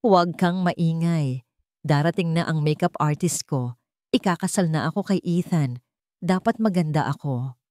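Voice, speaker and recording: A woman narrator plays a deranged woman who lives in a bridal fantasy. She speaks in a vacant, childlike, hushing way, as in an audiobook melodrama. The sound is very dry. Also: low-pitched, moderate pace, no echo, close to an everyday voice. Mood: dreamy, hushing.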